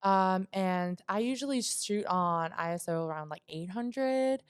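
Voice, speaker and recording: A woman's voice: mid-range voice, a little slow, smooth. A young adult woman, a vlogger guest, chatting casually on a podcast with lots of fillers and frequent laughs. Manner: chatty, giggly, filler-heavy.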